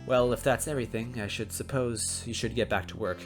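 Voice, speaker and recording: A man's voice: mid-range voice, steady tone, a little fast, over music, close to an everyday voice. A man voicing a tired, matter-of-fact female police chief with a stern manner in an amateur story reading. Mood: weary, firm. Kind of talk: amateur story read-aloud.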